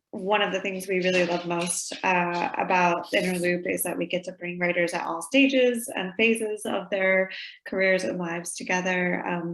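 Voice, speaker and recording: A woman's voice: on the low side, a bit bright, roomy sound, low-quality recording. A woman co-hosting a virtual reading in casual chat over a video call, chatty and laughing often. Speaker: co-host.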